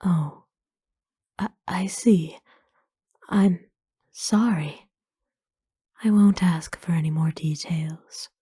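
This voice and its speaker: A woman voicing a kind, ancient aristocratic vampire lady in a whispered ASMR roleplay, speaking softly with formal, soothing, wistful phrasing. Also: fairly low pitch, drawn-out words, long pauses, no room sound, clean studio sound, heavily acted. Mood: gentle, sympathetic. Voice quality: soft, breathy whisper.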